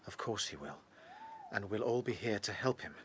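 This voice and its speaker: An actor playing an earnest, determined rabbit leader in a radio play, grave and thoughtful. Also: male voice, fairly low pitch, animated, normal speed, fairly bright tone, a little hiss or hum. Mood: grave, resolved.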